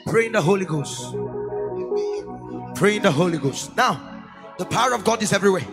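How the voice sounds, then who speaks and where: Impassioned high-pitched Nigerian minister over loud music. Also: male voice, lively intonation, a little fast, vocal fry. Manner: impassioned, repetitive, urgent exhorting. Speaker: gospel minister leading worship. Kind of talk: fervent church exhortation over music. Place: large church auditorium stage. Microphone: handheld microphone. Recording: live stage sound with loud music. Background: loud worship music.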